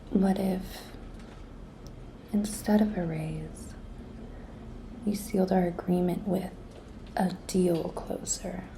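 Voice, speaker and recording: Slow, whispery, flirty office intern played by a woman. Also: fairly low pitch, mellow, slight background noise, heavily acted, low-quality recording. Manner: breathy, coy, slow and deliberate, often dropping to a whisper. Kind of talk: whispered ASMR roleplay.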